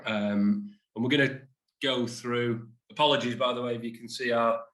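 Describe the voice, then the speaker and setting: A man's voice: medium pitch, fairly even intonation, normal speed, fairly bright tone, echoey room. A man presenting a business webinar, self-deprecating and hesitant with frequent fillers. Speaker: insolvency practitioner presenting a webinar.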